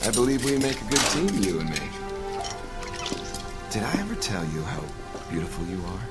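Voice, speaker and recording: A man acts a smooth-talking colleague in an audio drama. His pitch moves widely as he slides from forward charm to halting apology, and loud music plays behind him. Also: animated, natural voice. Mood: suave, seductive.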